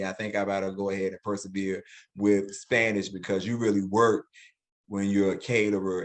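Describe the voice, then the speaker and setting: Reflective man in his forties. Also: fairly low pitch. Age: 40s. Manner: reflective, animated when quoting, repeats words. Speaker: guest, educator. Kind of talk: interview conversation.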